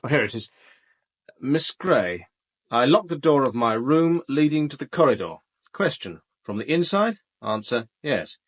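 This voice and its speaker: An actor with a British accent playing a deferential police sergeant in a radio drama, formal and plodding. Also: male voice, medium pitch, very animated, unhurried, husky. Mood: dutiful.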